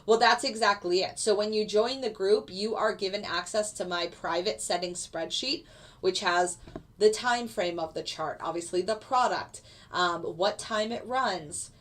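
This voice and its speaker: A woman with a mid-range voice gives casual live commentary on a trade as it happens. She walks through her settings step by step in a relaxed, explanatory way, like a teacher talking to her students. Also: medium pitch, unhurried. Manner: casual and explanatory, walking viewers through a chart. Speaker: futures day trader and trading teacher.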